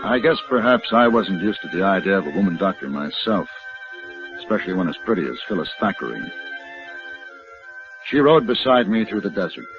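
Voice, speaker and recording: A man playing a calm, resolute and wryly gallant gunman in a 1950s western radio drama. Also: sits low. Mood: reflective. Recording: muffled, noisy vintage radio recording.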